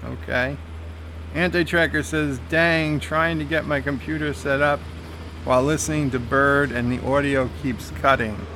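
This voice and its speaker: Slow, halting man reading chat aloud. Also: medium pitch, steady tone, sharp tone, slight background noise. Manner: reads viewer comments aloud and replies, with frequent "uh" fillers. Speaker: game streamer reading live chat. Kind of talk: casual live-stream commentary.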